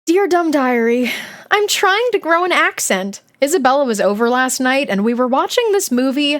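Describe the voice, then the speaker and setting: A woman's voice: high-pitched, lively intonation, moderate pace, a bit bright, pitched above the performer's own voice. A woman voicing a sarcastic, jealous middle school girl reading out her diary in a dramatic, snarky way. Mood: eager.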